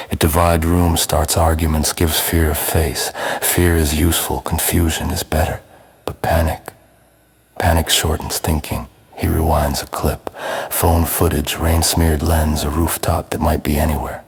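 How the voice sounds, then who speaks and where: A man narrates dark noir fiction in an extremely deep voice that is heavily husky and raspy. He keeps a flat, measured tone, delivering short, ominous sentences. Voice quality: very husky, gravelly rasp. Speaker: narrator of a neo-noir audio drama.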